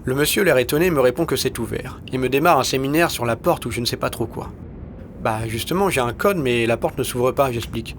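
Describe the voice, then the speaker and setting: A man reading a scripted first-person short story in a studio, with an understated, deadpan and colloquial delivery. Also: medium pitch, a little fast, a little hiss or hum. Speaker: narrator of his own audio short story. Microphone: studio recording.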